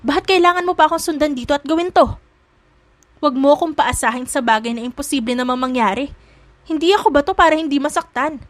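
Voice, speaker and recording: Woman performing young maid, feisty, high voice, emphatic scolding, lovestruck and hurt, romance audio drama, dry close sound. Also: quick pace, no room sound, close to an everyday voice. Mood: angry, hurt.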